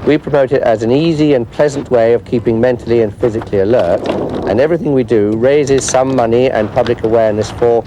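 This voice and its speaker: A man's voice: medium pitch, a little fast, dull audio, noisy background. A man explaining a club's work as its organiser, as if interviewed for a feature. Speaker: club organiser. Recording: music playing under the voice. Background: background music.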